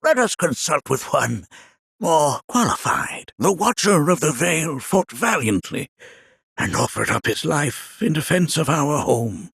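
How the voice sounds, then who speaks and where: An ancient, kindly village elder for a video game. His husky, creaking voice swoops dramatically up and down. He speaks in formal, old-fashioned phrases, as a wise mentor telling solemn tales. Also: a little high, big pitch swings, fairly bright tone, no room sound, exaggerated voice. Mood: reverent, mournful. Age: older. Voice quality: raspy, creaky. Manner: formal, archaic, measured storytelling with dramatic flourishes. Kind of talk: theatrical video game voice acting.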